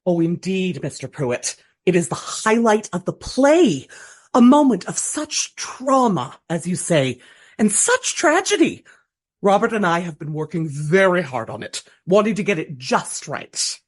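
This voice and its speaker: An actress in a radio play voicing a gushing, melodramatic amateur stage actress of the Regency era, speaking with theatrical earnestness. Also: female voice, fairly low pitch, very animated, a little slow. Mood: earnest, dramatic. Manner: theatrical, breathy earnestness, dramatic flourishes.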